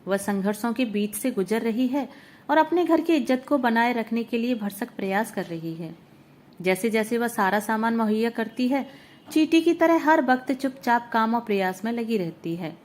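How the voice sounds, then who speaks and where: A woman with a fairly high voice reads a literary short story aloud. Her delivery is measured and even, with reflective pauses between phrases.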